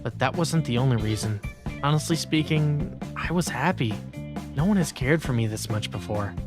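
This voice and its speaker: A man's voice: medium pitch, expressive, moderate pace, natural voice. A man voicing an introverted, resigned teenage schoolboy in an anime-style dub, mild and hesitant. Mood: reflective. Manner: mild, hesitant, stammers when flustered. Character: introverted teenage schoolboy narrator, secretly capable, meek and resigned. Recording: background music under voice.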